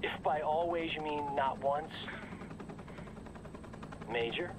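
Cocky, higher-voiced action hero. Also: male voice, slow. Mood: cocky, sarcastic. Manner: sarcastic, flippant. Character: cocky wisecracking male action hero in combat gear. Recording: loud vehicle and gunfire noise. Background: vehicle rumble, gunfire.

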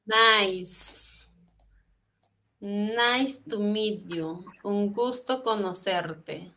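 Slow, bright-voiced woman teaching online. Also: mid-range voice. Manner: patient, slow explaining, switches between Spanish and English. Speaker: English teacher. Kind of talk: online class explaining exercises. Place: small room at home. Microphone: laptop webcam on a video call.

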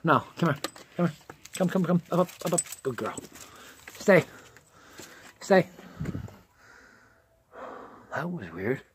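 A man with a slightly high voice films himself on a rocky outdoor climb, narrating casually and calling after his dog again and again in a raised, coaxing voice. He sounds a little winded from the climb, and faint noise sits under the recording. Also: big pitch swings, slow, pauses often. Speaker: vlogger hiking with his dog. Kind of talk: casual running commentary while hiking. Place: outdoors on rocky canyon slopes. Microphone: handheld camera held while climbing.

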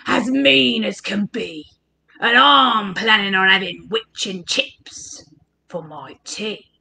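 A woman voicing a mean, hungry dragon in a slow, gloating storybook villain voice. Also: medium pitch, gravelly, pushed and bright, pitched below the performer's own voice, full character voice. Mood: menacing.

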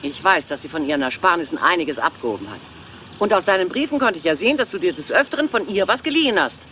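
A woman's voice: lowish voice, fast, a little hiss or hum. A woman playing an aging Hollywood film diva, cool, calculating and taunting, in a dubbed crime series. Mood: accusing. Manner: cool, taunting, lively intonation. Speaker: film star.

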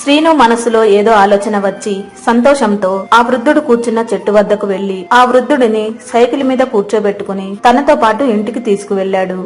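A woman's voice: a little high, a little fast, hardly any pauses, soft background music. A woman narrator reading a scripted moral story.

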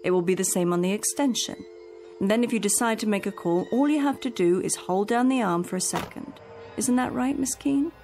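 A woman's voice: medium pitch, fairly quick, darkish tone, faint music behind, lightly acted. An actress playing a practical female companion in a radio drama, patient, coaxing and reasoning. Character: practical female companion soothing an elderly employer.

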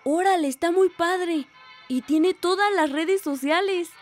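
High-voiced cheerful teenage girl. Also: measured pace, faint music behind. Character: cheerful, studious teenage village girl, a granddaughter. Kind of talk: radio drama acting.

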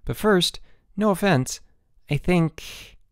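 A man's voice: high-pitched, animated, slow, clear, resonant tone, dry recording, clean studio sound, voice pushed up, heavily acted. Man as a sly schoolboy prankster, raised voice. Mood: urgent, coaxing. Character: sly, scheming schoolboy prankster feigning alarm.

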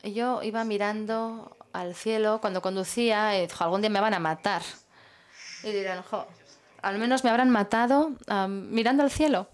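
A woman working as a simultaneous interpreter at a public talk. She speaks in measured phrases and pauses while she searches for the next word. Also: mid-range voice, steady tone.

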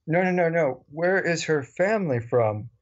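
A man's voice: a little high, animated, moderate pace. A man co-hosting a podcast, chatting casually and telling anecdotes in a wry way. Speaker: podcast co-host. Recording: dull, lo-fi voice recording.

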